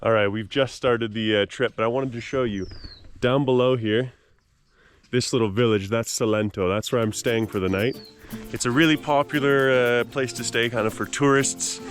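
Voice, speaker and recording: An upbeat man from Canada talks his way through a travel vlog on a mountain road, casual and chatty, often pausing on an "uh". His voice sits at an ordinary middle pitch, and music plays clearly behind him. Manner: upbeat, chatty, frequent "uh" fillers. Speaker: travel vlogger. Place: outdoors on a mountain dirt road.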